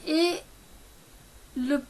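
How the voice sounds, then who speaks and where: A woman in her fifties talking casually to camera about her own experience, halting often with fillers and restarts. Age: 50s. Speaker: product distributor.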